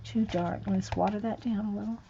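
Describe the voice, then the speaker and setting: A woman hosting a casual painting livestream, chatting with viewers and muttering soft asides while she works at a table. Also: medium pitch, fairly even intonation, a little fast, creaky, dull audio, a little hiss or hum. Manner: chatty, reads and answers viewer comments. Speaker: art livestream host. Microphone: overhead camera on hands and page.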